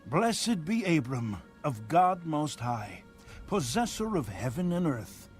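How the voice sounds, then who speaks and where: A man acts out a solemn priest-king of scripture, raised in pitch and unhurried. His blessing is exalted and declamatory, with swooping intonation over soft music. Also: big pitch swings. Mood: reverent, exalted.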